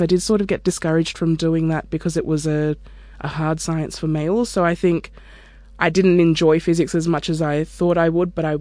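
A woman's voice: sits low, darkish tone, no room sound. Candid woman scientist, dry sound. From Australia. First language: Turkish. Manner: candid, reflective, conversational. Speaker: microbiologist and research scientist. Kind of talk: interview monologue.

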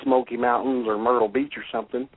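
A middle-aged man with a Kentucky accent hosting a radio show in a folksy, halting way. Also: medium pitch, steady tone. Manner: folksy, halting, laughs often. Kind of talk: casual radio interview. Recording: tinny phone-line radio audio.

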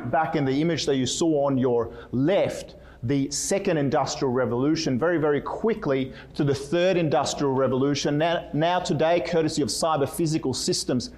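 Man, Swedish-Australian accent, keynote talk, fast and playful, middle pitch. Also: darkish tone. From Sweden. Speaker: keynote speaker. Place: conference room.

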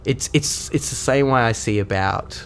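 A man's voice: medium pitch, moderate pace, slight background noise. A young man with a cold, co-hosting a podcast in an earnest, rambling way, often restarting his sentences. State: has a cold, voice deeper than usual. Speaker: podcast co-host. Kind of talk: reflective casual chat.

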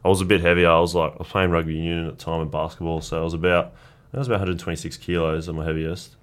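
Young Australian guy, Croatian first language, low and fast, easygoing storyteller. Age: young adult. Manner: relaxed, rambling storytelling with restarts. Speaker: guest athlete. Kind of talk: casual chat.

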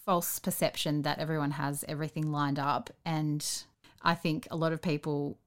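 Low-voiced woman interviewer. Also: flat delivery, moderate pace. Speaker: interviewer, podcast host. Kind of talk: casual conversational interview.

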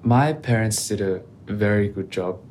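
A man speaking as a podcast guest in a calm, reflective, measured way. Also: fairly low pitch, slight background noise. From Australia. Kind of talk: casual interview conversation.

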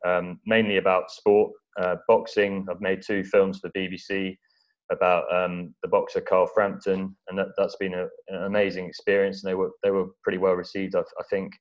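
An English man from East Anglia giving measured, modest, somewhat hesitant conversational answers as an interview guest. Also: sits low. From East Anglia, England. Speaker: interview guest, documentary film-maker. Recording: dull, lo-fi audio.

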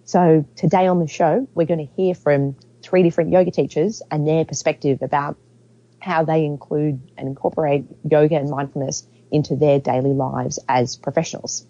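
A woman co-hosting a podcast with a croaky, raspy voice from a cold, explaining things earnestly. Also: deep voice, steady tone, moderate pace, warm tone, highs cut off. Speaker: co-host, company CEO. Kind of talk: casual podcast chat.

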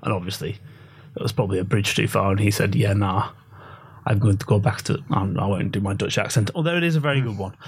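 A man's voice: on the low side, quick pace, breathy edge. A man hosting a sports betting podcast, leading a casual chat in a joking way and handing the talk over to his guests. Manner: jokey, leads the talk and hands over to guests. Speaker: podcast host.

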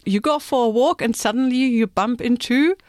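A woman's voice: a little high, moderate pace, a little mellow, dry recording, studio quality. A woman co-hosting a podcast in casual chat. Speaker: podcast co-host.

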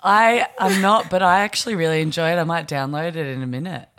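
A woman's voice: lowish voice, fairly quick, a bit bright. A woman basketball player as a guest on a casual chat podcast, modest and joking.

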